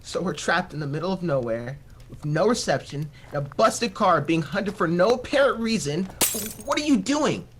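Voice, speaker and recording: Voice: medium pitch, big pitch swings, normal speed, a bit bright, a little hiss or hum. An actor playing a skeptical, jumpy, sarcastic runaway teenager in an audio drama, with an incredulous, questioning delivery. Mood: rattled, sarcastic. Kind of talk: audio drama acting, conversational.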